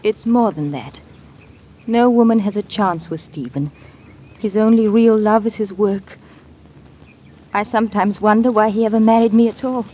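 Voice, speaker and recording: An actress playing a genteel, wistful young wife in an old-time radio drama, speaking politely and with some hesitance. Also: female voice, medium pitch, normal speed, mellow, lightly acted. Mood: wistful, hesitant. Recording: noisy old radio broadcast recording.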